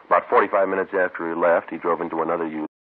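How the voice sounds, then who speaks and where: An actor playing a terse, world-weary police sergeant in a radio drama, deadpan and clipped, with an American accent. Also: male voice, deep voice, racing, close to an everyday voice. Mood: dry, matter-of-fact. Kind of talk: 1950s radio drama acting and narration. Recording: muffled old radio recording, faint noise.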